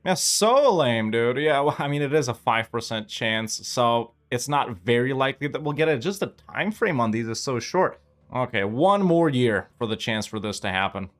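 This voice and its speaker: A young man giving casual gameplay commentary, relaxed and chatty, thinking aloud with many fillers. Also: mid-range voice, moderate pace. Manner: lively and upbeat when asking viewers to like and subscribe. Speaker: gaming YouTuber.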